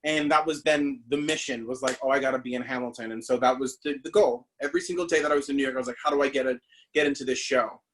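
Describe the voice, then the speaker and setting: A man from the Bahamas talks through his path as a stage actor in a loose, chatty way. His voice sits at an ordinary middle pitch, and he fills his sentences with fillers and restarts. He is heard over a video call. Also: fairly even intonation, fast talker, a bit bright, a little hiss or hum, low-quality recording. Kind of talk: casual interview storytelling.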